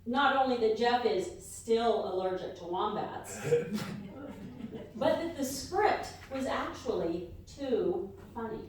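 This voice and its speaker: Highish-voiced woman in echoey comedy banter. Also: lively intonation, takes it slowly. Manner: mock-official announcing. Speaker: comedy radio show performer and co-host. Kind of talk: scripted live comedy banter to an audience. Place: live stage in a hall. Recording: very echoey hall, distant mic, faint noise.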